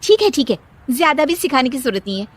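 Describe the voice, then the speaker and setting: Woman voicing a high-pitched, bossy young cartoon wife. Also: very animated, quick pace, heavy background noise. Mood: boastful. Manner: brash, boastful, snapping back. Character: proud, bossy young wife boasting about her magic hands. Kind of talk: animated cartoon story dialogue.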